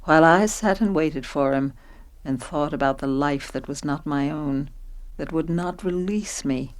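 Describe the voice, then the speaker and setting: Woman as a low-voiced, sorrowful narrator. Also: a little slow. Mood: resigned sorrow. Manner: reflective, resigned. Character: older Camilla, sorrowful woman recounting her life. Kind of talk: first-person radio play narration.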